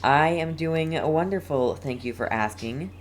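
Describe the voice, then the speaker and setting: A video game streamer giving casual live commentary and chatting with viewers, halting and often repeating words. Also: mid-range voice, a little hiss or hum.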